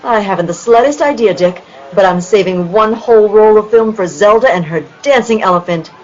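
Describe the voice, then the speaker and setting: A woman playing a bright, eager young sweetheart in an old-time style radio play. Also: medium pitch, a little fast, muffled recording, natural voice. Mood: enthusiastic.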